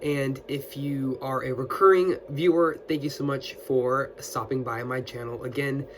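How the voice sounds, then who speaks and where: A man's voice: mid-range voice, fairly even intonation, a little slow. A young man who is getting over an illness and still sounds scratchy, chatting casually about his new books as a book video host, with a lot of fillers and restarts. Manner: friendly, with many fillers and restarts. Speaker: booktuber. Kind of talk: casual book haul chat.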